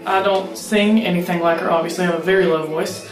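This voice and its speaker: A woman's voice: fairly low pitch, fairly even intonation, quick pace. A young woman who plays mandolin and sings, answering interview questions in an earnest, rambling way with many fillers. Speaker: bluegrass mandolin player and singer. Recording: roomy echo with music behind.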